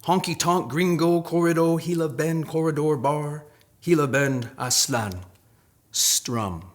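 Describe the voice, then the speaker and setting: A man's voice: on the high side, a little slow. A man from Arizona, a poet, reading and talking about his own work. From Arizona, United States. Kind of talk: poetry reading and commentary on own poem.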